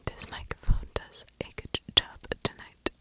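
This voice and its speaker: A woman hosting an ASMR video, telling a story in a breathy, unvoiced whisper close to the microphone, lingering on and repeating words. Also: a little slow. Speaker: ASMR video host, true crime narrator.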